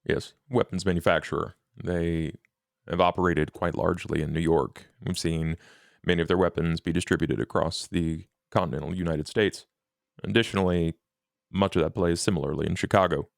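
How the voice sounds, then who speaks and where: A man improvising in-character dialogue in a tabletop game in a formal, deliberate, businesslike manner. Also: low-pitched, fairly even intonation, gravelly, dry recording, clean studio sound. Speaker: player in a tabletop role-playing game.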